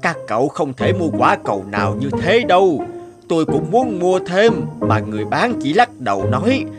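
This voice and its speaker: A man voicing a friendly old villager in an animated fairy tale, with an unhurried, drawn-out delivery. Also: a little high, over loud music. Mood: regretful. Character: friendly old male villager, slow-talking and obliging.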